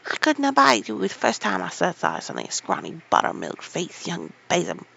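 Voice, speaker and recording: A reader voicing a furious old male gardener in a Yorkshire dialect, shouting and ranting in a storybook reading. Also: extremely high pitch, wildly swooping pitch, a little slow, vocal fry, lo-fi sound, pitched above the performer's own voice, heavily acted. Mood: raging. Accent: Yorkshire dialect put on.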